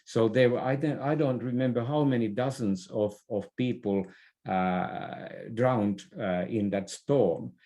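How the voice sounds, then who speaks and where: A man with a mellow, slightly low voice explains his ideas in an interview. His delivery is unhurried and halting, with repeated words and self-corrections, in a conversational style. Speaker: interview guest, leadership expert.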